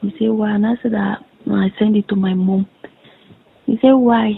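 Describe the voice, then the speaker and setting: A young woman with a Nigerian accent speaks on a muffled, lo-fi phone recording. Her delivery stays fairly flat, with a gravelly creak in it. She tells things haltingly, circling back and repeating herself as she relays reported dialogue. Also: mid-range voice. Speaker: caller in a private phone conversation. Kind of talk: casual recounting of a conversation.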